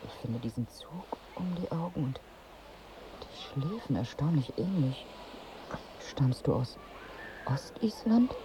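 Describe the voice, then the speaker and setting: An actress in a radio drama playing a wry, exasperated wife on holiday, dry and bossy in manner. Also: female voice, sits low, sing-song, unhurried, pauses often, warm tone, a little hiss or hum, low-quality recording. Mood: probing. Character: wry, exasperated married woman on holiday seeking pregnancy.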